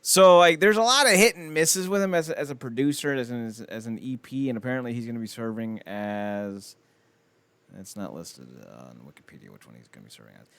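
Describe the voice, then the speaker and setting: A man's voice: mid-range voice, a little fast, a bit bright, clear, resonant tone, dry recording. A man hosting a podcast in casual chat about films, rambling along with blunt, wry opinions. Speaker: podcast host.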